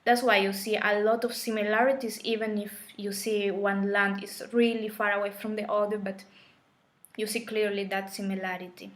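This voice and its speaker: Colombian lady hosting a live stream, flat even voice, slow with lots of ums. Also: medium pitch. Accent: Colombian Spanish. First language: Spanish. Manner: unhurried, with restarts and fillers. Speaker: spiritual course teacher.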